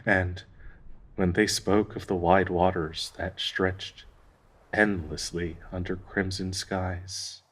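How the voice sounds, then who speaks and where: Man voicing a husky, slow-spoken, grieving dryad. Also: deep voice, little pitch movement, a bit bright, exaggerated voice. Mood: nostalgic wonder. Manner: tender, halting, wistful, pleading for reassurance. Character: gentle, grieving male dryad devoted to a sick companion. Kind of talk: intimate dramatic audio roleplay monologue.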